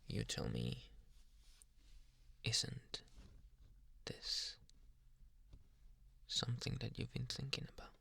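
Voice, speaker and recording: A man playing a possessive yandere psychiatrist in an ASMR roleplay, soft-spoken, polite and quietly menacing, with hushed, insinuating questions. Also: mid-range voice, very animated, vocal fry, husky, heavily acted. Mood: soft, probing. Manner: slow, hushed, insinuating, many pauses and questioning hums. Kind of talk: ASMR roleplay, close whispering.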